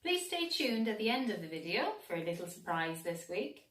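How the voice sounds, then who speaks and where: A woman narrating a children's storybook in an expressive, deliberate way, recorded in a roomy space away from the microphone. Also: medium pitch. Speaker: teacher reading a children's picture book. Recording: roomy echo, distant microphone.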